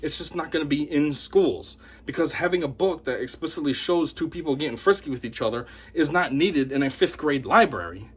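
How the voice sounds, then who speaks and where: A man with a slightly high, husky voice speaks in an opinionated monologue to camera. His delivery swings widely up and down as he talks scornfully and energetically. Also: a little fast, few pauses. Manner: animated, scornful, mocks opponents in put-on voices. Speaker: YouTube commentator.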